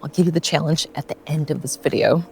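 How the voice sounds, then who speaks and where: A woman's voice: low voice, fast, creaky, soft background music. A woman in her forties, from Russia, with a mostly American accent slightly shifted by years in New Zealand, chatting casually and laughing often as she walks outdoors. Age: 40s. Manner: relaxed, chatty, laughs often, many fillers. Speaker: vlogger. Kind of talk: casual rambling chat on a walk. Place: outdoors on a bush walk.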